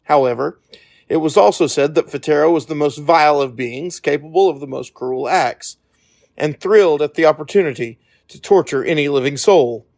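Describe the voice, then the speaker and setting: A man reading scripted fantasy audiobook narration in a measured storytelling manner. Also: on the high side, vocal fry, a little mellow.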